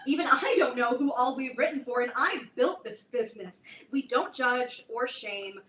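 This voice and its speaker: A woman playing a domineering, self-important teenage girl in a staged play reading, speaking in a theatrical, pompous, deliberate way. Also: fairly high pitch, fairly bright tone, lightly acted. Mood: smug, businesslike. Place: live reading in a roomy indoor space. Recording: distant echoey room, clear background noise.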